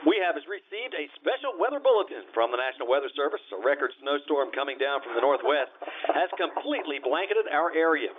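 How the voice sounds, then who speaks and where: An American man playing a brisk, official 1950s radio announcer reading a weather bulletin. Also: a little high, big pitch swings. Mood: urgent, official. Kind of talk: old-time radio news bulletin. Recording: dull, noisy vintage radio broadcast sound.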